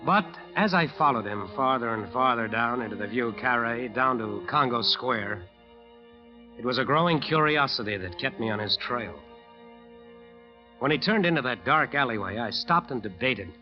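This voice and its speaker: A man's voice: mid-range voice, expressive, normal speed, lightly acted. An actor playing a driven, ambitious musician who narrates his nightmare dramatically in an old radio drama. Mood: tense, reflective. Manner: dramatic, intense storytelling. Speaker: narrator and lead character. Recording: dull old radio recording, music behind.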